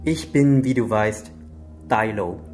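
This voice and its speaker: An actor playing a calm, menacing Chinese triad boss, deliberate and solemn, in a radio crime drama. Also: male voice, on the low side. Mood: solemn, commanding.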